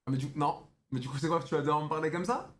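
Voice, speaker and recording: Young male streamer, mocking slangy banter, quick and a bit high. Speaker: streamer chatting with strangers on video chat. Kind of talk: casual teasing chat.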